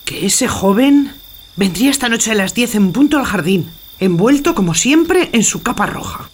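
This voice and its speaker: A woman's voice: fairly high pitch, lively intonation, normal speed, slight background noise, close to an everyday voice. An actress playing a loyal, fretful housemaid, earnest and deferential to her master in a theatrical audio drama. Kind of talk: theatrical radio drama acting.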